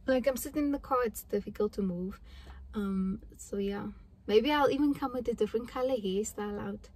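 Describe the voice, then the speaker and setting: A woman's voice: medium pitch, fairly quick, husky, rough recording. A young woman vlogging casually to a phone camera from a parked car, chatty and rambling. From South Africa. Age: young adult. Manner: chatty, rambling, animated hand gestures. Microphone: phone camera at arm's length.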